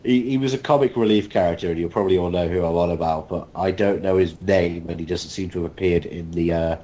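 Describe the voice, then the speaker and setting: A man's voice: quick pace, warm tone. A man in a casual group chat as an interviewed voice actor, talking in a rambling, jokey way over an online call. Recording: rumbly, noisy online call.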